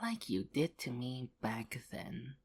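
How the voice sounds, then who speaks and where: A wounded, vengeful test subject acted by a woman in a horror audio drama. The voice is low and breathy, unhurried and coaxing, with menace and hurt mixed together. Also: very animated, exaggerated voice. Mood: coaxing, menacing.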